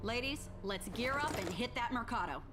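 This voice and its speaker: A woman playing a feisty, bossy ghost-hunting team leader in a game cutscene, brisk and blunt. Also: a little high, lively intonation, natural voice. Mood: commanding. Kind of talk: scripted game cutscene acting. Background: loud music.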